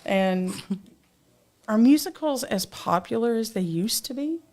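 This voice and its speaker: An older woman hosting a casual talk show, chatty and quick to laugh. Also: fairly high pitch, expressive, darkish tone. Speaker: host.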